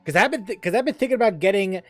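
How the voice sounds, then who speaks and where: A gaming streamer giving casual let's-play commentary, talking in a halting, stammering way full of restarts and fillers. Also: medium pitch, lively intonation, faint music behind.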